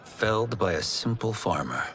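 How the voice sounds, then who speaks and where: A man plays a polite young fighter in a game. He speaks earnestly in a lowish voice, and music plays behind him. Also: expressive, normal speed, close to an everyday voice. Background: game score.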